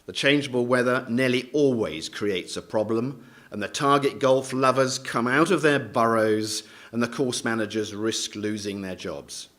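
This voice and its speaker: A man hosting a golf event, delivering a measured, formal introduction of the next speaker. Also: mid-range voice, fairly bright tone. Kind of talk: prepared introduction.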